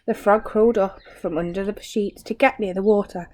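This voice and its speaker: A woman's voice: mid-range voice, fairly even intonation, fairly quick, a little mellow. A woman reading a children's story aloud like a teacher, expressively, with asides and questions to young listeners.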